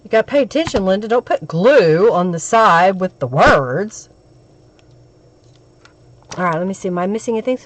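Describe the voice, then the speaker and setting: A woman with a crackly, hoarse voice from a cold, hosting a crafting live stream and chatting with viewers as she works. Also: mid-range voice, expressive, normal speed, pauses often, no echo.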